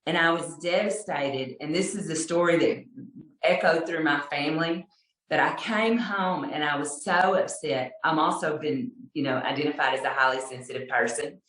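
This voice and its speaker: Woman, deep Texas accent, lowish voice, storyteller on a video call, self-deprecating, echoey room. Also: normal speed, a bit bright. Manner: chatty, self-deprecating, laughs often. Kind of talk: personal storytelling.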